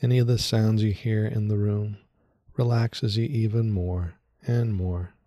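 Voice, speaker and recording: A man leading a guided meditation in a soothing, unhurried way, speaking in short phrases with long pauses. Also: on the low side, fairly even intonation, mellow, no room sound.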